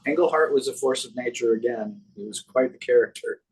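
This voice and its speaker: A man with a deep voice, chatting casually as an interview guest and reminiscing in an animated storytelling way. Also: brisk, a little hiss or hum, poor audio. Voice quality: deep, husky. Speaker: guest, puppeteer.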